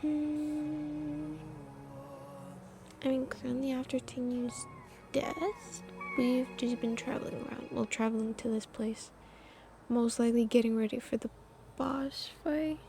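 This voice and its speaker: A woman's voice: high voice, fairly even intonation, unhurried, pauses often, over music. A woman live-streaming a game, chatting and reacting casually, candid and musing, often dropping to a murmur. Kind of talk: casual chat and reactions while playing.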